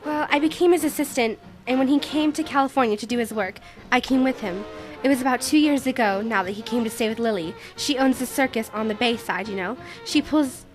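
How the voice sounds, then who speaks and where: A woman's voice: a little high, fairly quick, faint music behind, close to an everyday voice, low-quality recording. A woman playing a troubled, wistful magician's assistant who speaks hesitantly and earnestly in a noir radio drama. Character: troubled, wistful young female magician's assistant seeking help.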